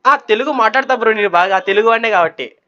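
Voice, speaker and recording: A man's voice: high-pitched, fast talker, bright, forceful tone, muffled recording. A man chatting casually and coaxingly as a prank host, mixing in English words. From Kadapa, Andhra Pradesh, India. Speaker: YouTuber hosting a prank on a video chat. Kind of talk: casual banter, giving dares.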